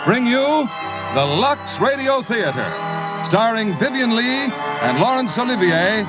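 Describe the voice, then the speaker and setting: A high-pitched male announcer in 1950s radio style, his delivery rising and falling dramatically. Loud music plays behind him on a vintage broadcast recording. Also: sing-song, unhurried.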